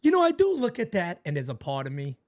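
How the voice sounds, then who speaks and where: Man, sports-show co-host, animated chat with excited outbursts. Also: high voice, fast talker. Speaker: podcast co-host.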